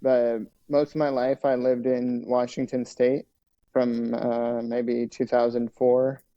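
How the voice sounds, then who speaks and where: A man's voice: mid-range voice, level tone, slow pace, warm tone. A young man from Lithuania, a podcast guest telling his story in a hesitant way with many fillers, on a headset over a video call. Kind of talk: casual interview storytelling. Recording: dull lo-fi video call audio.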